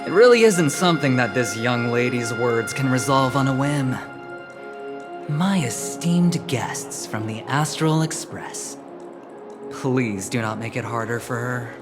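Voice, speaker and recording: Video game role: smug young male executive, theatrical, leisurely, very animated pitch. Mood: polite, firm. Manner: theatrical, suave, teasing. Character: smug, flamboyant young male corporate gambler. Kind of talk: scripted video game dialogue. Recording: music behind the voice.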